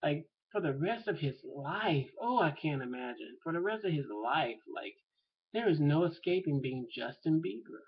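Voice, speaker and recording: A man's voice: a little high, expressive, moderate pace, breathy edge, muffled recording. A man talking casually to camera in an opinion vlog, rambling and thinking aloud with lots of fillers. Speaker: vlogger.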